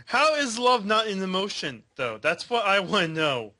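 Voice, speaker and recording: A man on a casual review podcast panel, quick to push back and argue his points. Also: high voice, very animated, pushed and bright. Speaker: podcast co-host.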